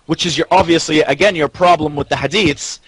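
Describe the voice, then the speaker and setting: A man's voice: a little high, a little fast, a bit bright, low-quality recording. A man debating as a panellist in unscripted talk, argumentative, often stammering and repeating himself as he presses his point.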